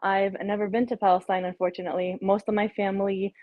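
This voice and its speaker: A woman's voice: mid-range voice, level tone, fast talker, dry recording, phone-quality sound. A woman from Texas with a slight Texan twang, chatting friendly and talkatively as she introduces her cooking. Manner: friendly, talkative, with ums and uhs. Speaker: home cook introducing herself. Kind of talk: casual cooking demo.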